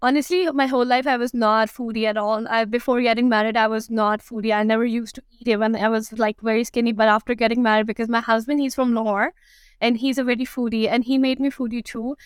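A woman's voice: fairly high pitch, little pitch movement, fast talker, clean tone, no echo. A woman in her thirties from Pakistan with a Pakistani accent, answering interview questions casually with many fillers and restarts. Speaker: guest, food blogger.

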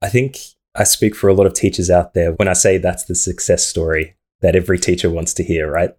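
A man's voice: sits low, fairly even intonation, a little fast, a little mellow. A man hosting a podcast, leading the interview with friendly questions. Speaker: podcast host.

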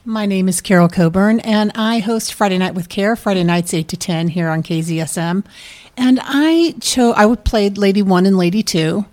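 Mid-pitched female radio host. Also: normal speed, a little mellow. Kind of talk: casual on-air introduction.

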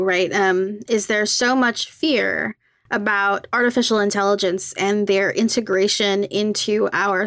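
A woman podcast host with a noticeably creaky voice, recorded close and dry. She asks interview questions in a conversational style, at a middling pitch. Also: a bit bright, no echo.

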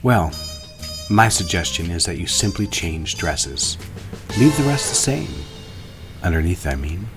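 Bir adamın oynadığı pes sesli, müşfik baba. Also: male voice, low-pitched, lively intonation, unhurried, pauses often, over music. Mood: teasing, seductive. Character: indulgent, smooth-talking father and flirtatious lover. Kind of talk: audio drama acting.

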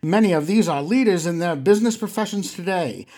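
A man's voice: sits high, a little fast, darkish tone, lightly acted. A man playing a boastful, exhorting 1920s mail-order muscleman, delivering a vintage advertisement. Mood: insistent selling. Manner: exhortatory, boastful huckster patter. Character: brash 1920s mail-order muscleman pitching body-building to weaklings.

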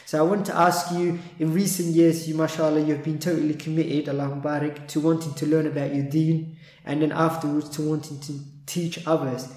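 A man hosts a religious interview podcast in a courteous, devout manner, often using Arabic phrases. His voice is slightly high and soft-edged, with very little rise and fall. The room adds noticeable echo. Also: little pitch movement, brisk. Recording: roomy, echoing room sound.

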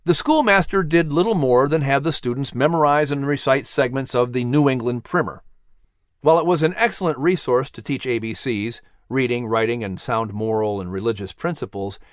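A man's voice: medium pitch, moderate pace, no room sound, clean studio sound. A man giving scripted narration of a children's audiobook as the storyteller.

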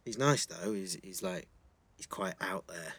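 A man's voice: sits low, unhurried, a bit bright, breathy edge, dry recording, heavily acted. An actor playing a shy, earnest teenage schoolboy, hesitant and flustered, repeating his words in a naturalistic audio drama. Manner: hesitant, stammering repetitions, flustered.

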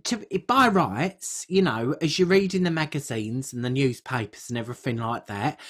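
Woman co-host for comic chat podcast: husky, very lively intonation, candid and rambling. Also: low-pitched. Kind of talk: casual comedic podcast chat.

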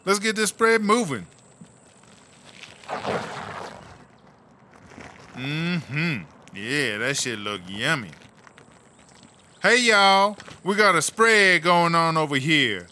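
A man performs a sassy woman inmate in a comedy audio drama. She is bossy and slangy, with a fairly high, sharp, forceful voice. Faint background noise sits underneath. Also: sing-song, slow, full character voice. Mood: bossy, inviting. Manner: slangy, emphatic, self-promoting. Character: sassy, bossy, hustling female inmate cook.

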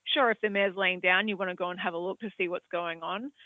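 An Australian man with a high voice speaks over a tinny, phone-like line. The sound is dry and close. He answers questions as a horse vet, wandering through his points with plenty of hesitant fillers. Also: steady tone, fast talker, no echo. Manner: rambling, hesitant, many fillers. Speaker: equine veterinarian. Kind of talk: expert answering audience questions.

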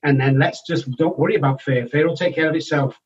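A man's voice: a little high, fairly even intonation, quick pace. A middle-aged man from England with a Manchester accent, speaking frankly and matter-of-factly as an interview guest. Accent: Manchester English. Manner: frank, matter-of-fact, with restarts. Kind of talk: candid personal storytelling. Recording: distant, dull-sounding remote recording.

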